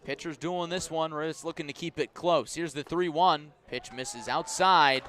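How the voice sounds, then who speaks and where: A man calling a baseball game live on the radio, describing each pitch in measured phrases and lifting his voice for key plays. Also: fairly bright tone. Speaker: baseball play-by-play announcer. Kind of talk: live radio sports commentary.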